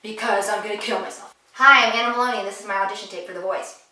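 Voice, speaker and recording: A woman's voice: medium pitch, fairly even intonation, very fast, sharp tone. Woman playing an intense, overeager misfit girl. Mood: bleak. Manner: overeager, volatile, theatrical. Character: intense, morbid, self-loathing young woman auditioning. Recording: echoey room, distant mic.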